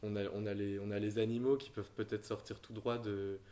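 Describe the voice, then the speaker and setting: A man, an artist, talking casually about his own work, speaking softly and haltingly with many fillers and repeated words. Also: lowish voice, little pitch movement. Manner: soft-spoken, halting, frequent fillers. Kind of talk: casual conversation.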